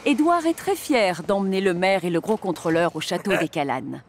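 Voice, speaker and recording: A woman narrating a French children's cartoon about trains in an expressive storybook style. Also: fairly low pitch, normal speed, background noise. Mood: storytelling. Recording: music under the voice.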